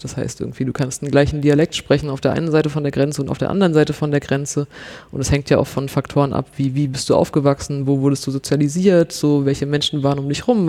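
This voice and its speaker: A man speaks in a relaxed, chatty way, filling his sentences with little hedging words. His voice sits a bit high for a man but sounds mellow and warm. He comes across as a wry journalist explaining his work. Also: creaky. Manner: chatty, many fillers like irgendwie and so, wry. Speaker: investigative journalist guest. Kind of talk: casual conversational interview.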